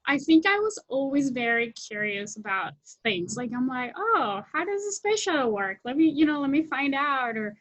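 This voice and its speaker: Woman from Colombia in her thirties, guest on a video call; a higher voice, eager, restarts often, dry sound. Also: big pitch swings, moderate pace, hardly any pauses, fairly bright tone, no echo. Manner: enthusiastic, many restarts and fillers. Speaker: guest, technology scout. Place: home room with window, indoors. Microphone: laptop webcam mic on video call.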